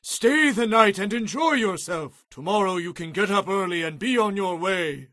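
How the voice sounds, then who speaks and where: A man's voice: high voice, animated, normal speed, fairly bright tone, no room sound, clean studio sound. An actor playing a hospitable old man in a dramatized audio Bible, urging and pleading in a thin voice. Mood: warm urging.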